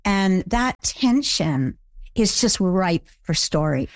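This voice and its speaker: A woman being interviewed, answering in a thoughtful, measured way and slowing on key phrases. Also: mid-range voice, sing-song, no echo, studio quality.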